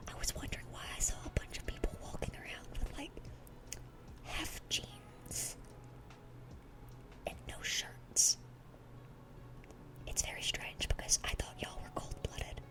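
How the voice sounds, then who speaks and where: A woman's voice: unhurried, pauses often, close to an everyday voice, rough recording. A woman playing a dorky, eager young demon in a whispered ASMR roleplay, rambling sweetly and often repeating her words. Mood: curious.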